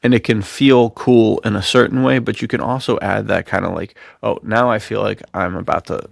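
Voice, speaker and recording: A man co-hosting a podcast in casual, enthusiastic chat, talking in slangy, excitable bro talk. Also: lowish voice, moderate pace, gravelly, no room sound, clean studio sound. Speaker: podcast co-host.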